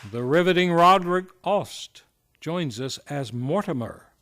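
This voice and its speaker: Animated, high-pitched man announcing radio theater. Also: a little slow, a little hiss or hum. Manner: grandiose, showy announcing. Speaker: host and narrator of a radio play. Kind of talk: theatrical scripted narration.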